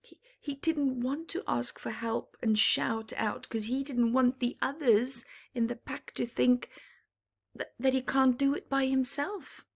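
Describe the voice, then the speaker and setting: A woman storyteller reading a picture book to young children, expressive and dramatic, with hushed asides that explain the story. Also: fairly high pitch, gravelly, breathy edge.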